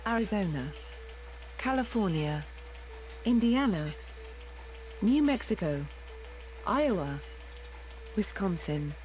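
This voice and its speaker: Female computer alert voice, medium pitch, short isolated announcements. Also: very animated, slow, pauses often, a little mellow, slight background noise. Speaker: automated alert voice announcing place names. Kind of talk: clipped one-word announcements.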